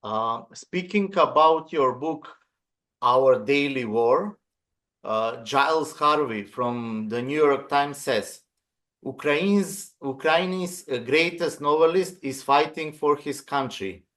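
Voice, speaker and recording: A man interviewing a writer, reading prepared questions in formal, measured phrasing. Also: mid-range voice, fairly bright tone.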